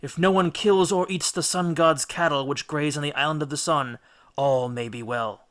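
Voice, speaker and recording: A man voicing an elderly ghostly oracle, stern and all-knowing, making measured, ominous pronouncements in a storybook. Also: on the high side, steady tone, fairly bright tone, natural voice. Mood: grave, warning.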